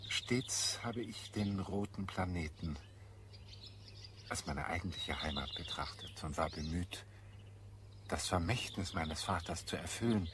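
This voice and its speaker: Solemn scholar guy w visions, low voice, radio drama, birds chirping behind. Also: measured pace, fairly bright tone, a little hiss or hum. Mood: solemn, confiding. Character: earnest idealistic male scholar, secretly half Martian. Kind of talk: German radio play acting. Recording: clear background birdsong and ambience. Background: birdsong in a garden scene.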